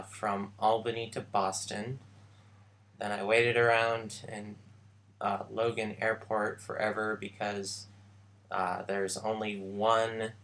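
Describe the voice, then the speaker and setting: A young man recounting his trip in a casual vlog, slow and halting with many pauses. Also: on the low side, vocal fry, pushed and bright, slight background noise. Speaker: vlogger. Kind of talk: casual travel vlog chat.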